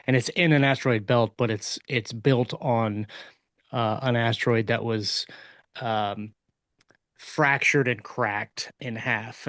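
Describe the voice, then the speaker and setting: A man co-hosting a podcast, explaining things casually in a halting, rambling way with self-deprecating asides. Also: mid-range voice, a bit bright, no room sound. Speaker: podcast co-host and science fiction author. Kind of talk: casual panel chat.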